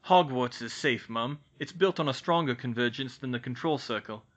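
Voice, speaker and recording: A man reading aloud voices a calm young wizard who explains magic in a storybook. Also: mid-range voice, moderate pace, close to an everyday voice. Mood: explaining. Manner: steady, explanatory. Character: calm, knowledgeable young curse-breaker man.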